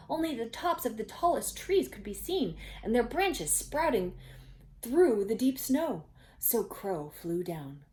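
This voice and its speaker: A woman reading a storybook aloud to children, expressive and phrase by phrase, with faint background noise. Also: mid-range voice. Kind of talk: children's storybook read-aloud with chatty asides.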